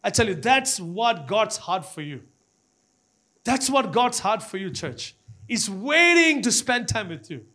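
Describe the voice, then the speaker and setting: Male preacher, Malaysian accent, high-pitched voice, impassioned and exhorting sermon delivery with frequent repetition. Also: sing-song, measured pace, bright, forceful tone. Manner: repetitive, exhorting, often addressing the congregation.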